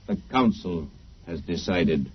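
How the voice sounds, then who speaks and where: An actor playing a cold, logical, ancient alien envoy who speaks in formal, unemotional pronouncements, in 1950s science fiction radio style. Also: male voice, low voice, takes it slowly, slightly rough, full character voice. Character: cold, logical male alien envoy, seven thousand years old. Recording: muffled vintage radio broadcast.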